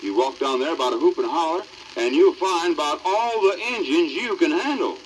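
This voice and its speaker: A man's voice: a little high, expressive, brisk, lightly acted, rough recording. A man playing a big, easygoing frontiersman with an American accent and a folksy, unflappable drawl on a 1950s children's record. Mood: amiable. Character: big, easygoing backwoods frontiersman in coonskin cap. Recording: old 78rpm record, light hiss.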